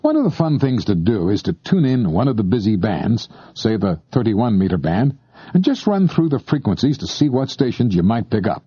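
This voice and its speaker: A man narrates an instructional tape with lively, varied intonation and a mellow, relaxed tone. He talks directly to the listener in a conversational way, sounding slightly husky on a dry, somewhat dull tape recording. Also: on the low side, normal speed, no room sound. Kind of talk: scripted explanatory narration.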